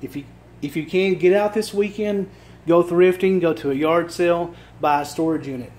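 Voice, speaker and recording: A man with a Virginia accent, losing his voice and clearing his throat, chatting casually to a phone camera indoors as he shows off thrift finds. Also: on the high side, normal speed, a little mellow. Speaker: thrift reseller vlogger. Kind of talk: casual show-and-tell vlog. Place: indoor room with items on a table. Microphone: phone camera held while filming.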